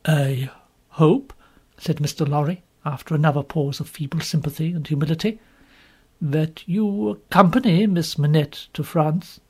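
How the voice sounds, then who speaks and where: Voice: medium pitch, normal speed, breathy edge. A reader as a discreet, formal old banker. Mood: timid. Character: elderly male banker, cautious and formal. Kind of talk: audiobook character voice.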